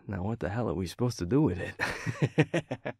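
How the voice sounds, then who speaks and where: A man playing a teasing, affectionate werewolf boyfriend in an intimate audio roleplay, with a breathy, husky voice and a murmuring delivery. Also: fairly low pitch, expressive, darkish tone, no room sound, clean studio sound, heavily acted. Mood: amused, relieved. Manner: slow, murmuring, chuckling, playfully teasing. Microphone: close studio mic, dry.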